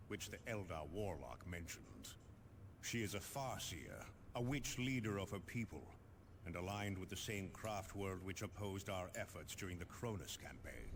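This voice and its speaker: An actor playing a dutiful veteran space marine sergeant in a video game, speaking in a formal, stern, measured way. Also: male voice, low-pitched, background noise. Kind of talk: scripted video game acting.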